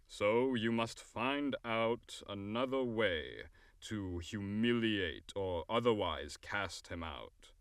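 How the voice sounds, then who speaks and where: Guy playing a cunning old-world fairy king, deep slow deliberate voice, imperious, very dry audio drama sound. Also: a bit bright, clean tone, dry recording. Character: weary, cunning male fairy king dictating a bargain.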